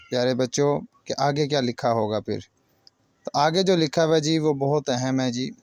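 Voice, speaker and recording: A man's voice: mid-range voice, darkish tone, no room sound. A man narrating a moral story for children like a teacher, speaking directly to young listeners in short separated phrases. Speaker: children's storyteller and channel host.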